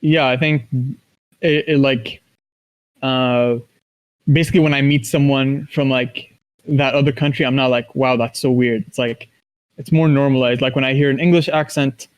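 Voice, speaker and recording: Male interview guest from Kuwait, mid-pitched, conversational, halting delivery. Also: steady tone, smooth. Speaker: interview guest, esports professional.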